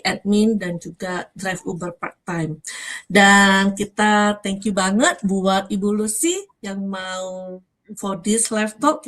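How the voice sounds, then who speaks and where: A female host with an Indonesian accent chats in a medium-pitched voice. She mixes English words into her speech and often repeats or rephrases herself in a halting way. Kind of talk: live talk, casual chat mixing in English.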